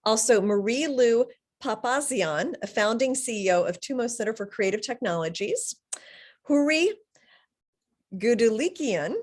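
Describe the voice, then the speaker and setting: Upbeat woman moderator, fairly high voice, casual with fillers; live webinar host. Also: animated, unhurried, a little mellow. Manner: upbeat, loose, with fillers and restarts. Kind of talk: hosting a live panel webinar.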